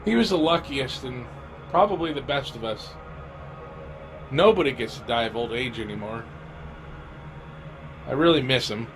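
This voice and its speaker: A man playing a lonely, weary, haunted apocalypse survivor, telling his story in an amateur audio drama with halting, dramatic pauses. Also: medium pitch, expressive, background noise, natural voice. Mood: grieving. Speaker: amateur voice actor. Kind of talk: scripted first-person audio drama narration.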